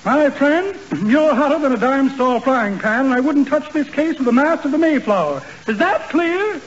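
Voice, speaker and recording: A self-important lawyer reels off case citations in a lofty tone. His voice sits very high. The sound is muffled like an old radio broadcast. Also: animated, normal speed, slight background noise. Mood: pompous. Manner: pompous, spouting legal jargon. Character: pompous fast-talking small-town lawyer. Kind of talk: 1940s radio comedy acting.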